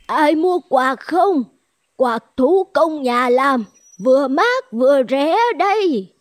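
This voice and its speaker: A woman voicing a kindly, humble old village fan seller in a folk-tale cartoon, gentle and plaintive. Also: high-pitched, expressive, slow. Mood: calling out. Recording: music behind the voice.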